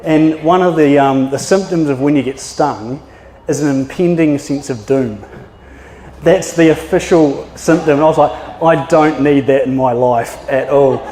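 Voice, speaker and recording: A man's voice: on the high side, a little slow, dark tone, slight background noise. A man in his thirties with a New Zealand accent, giving a chatty, anecdotal public talk full of fillers and restarts. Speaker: maritime archaeologist giving a talk. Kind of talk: public presentation. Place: yacht club function room. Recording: echoey hall with long reverb.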